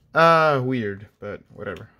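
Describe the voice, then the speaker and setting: A man sits at a table late at night, quietly opening card packs and naming each pull at an unhurried pace. His voice is kept low and sounds a little dry and off. He talks in a relaxed, plain way in a middle register. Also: a little hiss or hum. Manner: hushed, unhurried, reading card names aloud. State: voice a bit off, dry throat. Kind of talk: casual pack-opening commentary.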